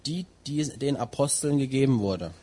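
A man interpreting a sermon phrase by phrase, and at times reading a long scripture passage at a steady pace. His mid-pitched voice has a husky grain. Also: normal speed. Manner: translates line by line, with some fillers and restarts.

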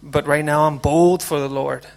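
A man preaching his testimony through an interpreter, halting and repeating words. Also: fairly high pitch, steady tone, creaky. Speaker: speaker giving testimony.

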